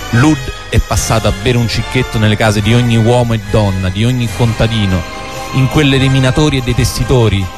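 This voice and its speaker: A man narrating a historical radio drama from a script. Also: mid-range voice. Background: music under the voice.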